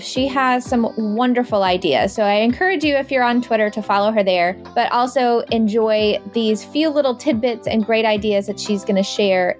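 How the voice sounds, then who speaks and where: Slightly high-voiced woman interview host. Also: a bit bright. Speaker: podcast host. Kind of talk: casual interview.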